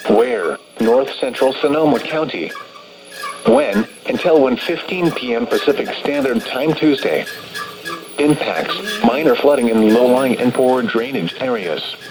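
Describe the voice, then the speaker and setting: A man's voice: medium pitch, animated, unhurried. A man's synthetic, automated voice reading a formal scripted weather forecast bulletin as an announcer over the radio. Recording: noisy weather radio broadcast.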